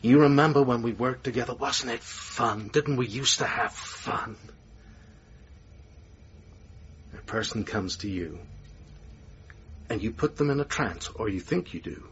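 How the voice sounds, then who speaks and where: A man's voice: medium pitch, moderate pace, close to an everyday voice. Man acting a sarcastic theatre manager, radio play, emphatic, theatrical, distant from mic, dull faintly noisy recording. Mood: wounded, sceptical. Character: sardonic, theatrical male theatre manager, needling lover. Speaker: actor in radio drama.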